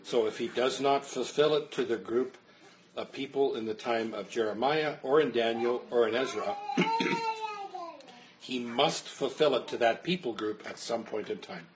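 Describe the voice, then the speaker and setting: A man's voice: mid-range voice, moderate pace, a little hiss or hum, low-quality recording. A man, a pastor, teaching a Bible study in an earnest, explanatory way and answering questions while losing his voice.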